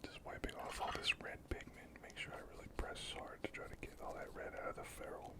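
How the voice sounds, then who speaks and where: Whispering ASMR hobby host. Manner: unhurried, murmured thinking aloud. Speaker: hobby painting channel host. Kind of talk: whispered ASMR miniature painting commentary.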